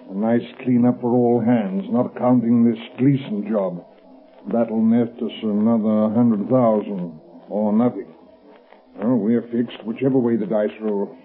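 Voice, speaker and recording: A man's voice: lowish voice, steady tone, unhurried, close to an everyday voice. Man acting tinny-sounding tough crooked sea captain. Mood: brisk, smug. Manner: gruff, slangy, threatening. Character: tough, cocky criminal ship captain. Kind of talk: 1930s radio acting. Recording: tinny 1930s radio recording.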